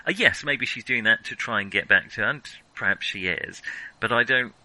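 A man hosting a casual film discussion, talking in a hesitant, halting way as he thinks aloud. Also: medium pitch, pushed and bright, poor audio. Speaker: film podcast host.

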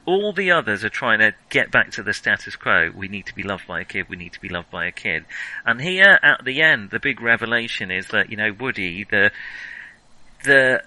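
A man's voice: on the low side, animated, normal speed, sharp tone, low-quality recording. A man hosting a casual film discussion, enthusiastic and analytical, often stammering as he searches for words. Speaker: film podcast host.

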